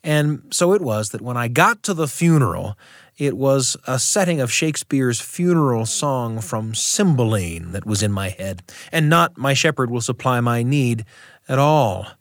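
A man hosting a radio show, telling personal stories in a reflective, unhurried way into a close studio microphone. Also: medium pitch, lively intonation, no echo. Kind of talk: warm personal storytelling and studio chat.

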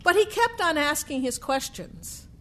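Slow, high-voiced woman storyteller. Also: very animated, fairly bright tone. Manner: deliberate, measured phrasing. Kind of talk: performed true-story telling.

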